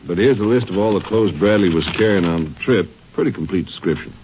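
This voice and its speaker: A man's voice: sits low, quick pace, darkish tone, lightly acted. An actor playing a folksy, plainspoken Texas man in a radio drama, with clipped phrases. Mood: businesslike. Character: folksy, plainspoken male Texas lawman or townsman. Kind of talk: 1950s radio drama acting. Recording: dull, very noisy vintage radio recording.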